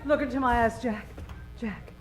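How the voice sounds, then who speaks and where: A woman's voice: fairly high pitch, fairly even intonation, moderate pace, over music, crowd noise, lightly acted. Woman playing a sultry, scheming femme fatale. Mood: seductive. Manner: sultry, commanding, melodramatic. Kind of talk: parody of old-time radio detective drama.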